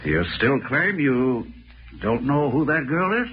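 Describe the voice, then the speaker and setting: Curt American town boss, medium-pitched, authoritative and distrustful, vintage radio drama with muffled, slightly noisy sound. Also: male voice, very animated, slow. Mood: stern, accusing. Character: stern, suspicious small-town mayor interrogating a suspect. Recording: dull, noisy old radio recording.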